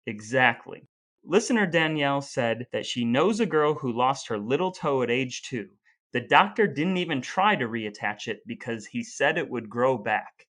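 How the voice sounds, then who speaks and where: A man's voice: mid-range voice, expressive, moderate pace, a bit bright. Playful man co-hosting a podcast. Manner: playful, wordplay-loving. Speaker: podcast co-host. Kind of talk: casual chat.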